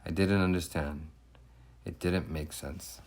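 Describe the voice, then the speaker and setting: A man reading a children's picture book aloud in the first person, with animated, emphatic delivery and deliberate phrasing for young listeners. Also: low-pitched, a little hiss or hum. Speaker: storybook reader.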